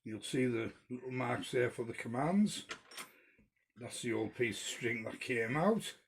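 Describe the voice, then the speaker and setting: A man giving a casual hands-on repair tutorial in an unhurried, informal, step-by-step way. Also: medium pitch, lively intonation, slight background noise. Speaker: toy repair demonstrator.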